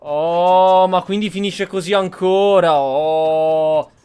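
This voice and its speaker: Higher-pitched Italian man commentating a game. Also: steady tone, slow, a little mellow, clear, resonant tone. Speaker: gaming video host. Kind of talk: casual gameplay commentary with in-character roleplay.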